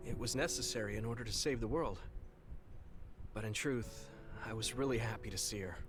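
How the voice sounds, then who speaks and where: A man's voice: fairly low pitch, a little fast, natural voice. Man acting an earnest, boyish young hero over music. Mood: wistful, sincere. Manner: sincere, eager, apologetic. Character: earnest, friendly, boyish young male hero, open-hearted. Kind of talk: English video game cutscene voice acting. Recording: game audio with background music.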